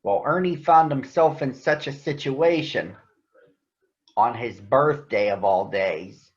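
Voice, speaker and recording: A man addressing children as a picture book reader, speaking in deliberate phrases, in a read-aloud storytelling style. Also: mid-range voice, dull audio.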